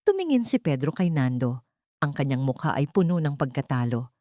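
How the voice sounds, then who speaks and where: A woman's voice: deep voice, big pitch swings, brisk, mellow, no echo, studio quality. A woman narrating a scripted romantic drama as a storyteller, in a dramatic style.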